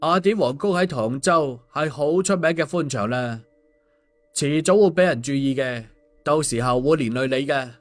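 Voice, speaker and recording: A man's voice: on the high side, moderate pace, soft background music, lightly acted. A man voicing a glib, cheeky male schemer in an audiobook, teasing and mock-sincere with exclamations. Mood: persuading, gossipy. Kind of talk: audiobook dialogue.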